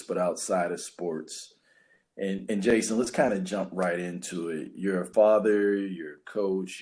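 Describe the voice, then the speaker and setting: A man's voice: low voice, a little mellow. A man from western Pennsylvania co-hosting a podcast, introducing a guest and asking questions in a conversational way. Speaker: podcast co-host. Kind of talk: casual interview hosting.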